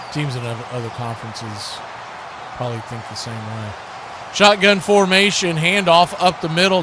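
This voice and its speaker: A man hosting a sports stream, calling play-by-play and chatting casually between plays. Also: on the high side. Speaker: radio host calling play-by-play. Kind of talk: sports play-by-play commentary mixed with casual chat. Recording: clear background noise.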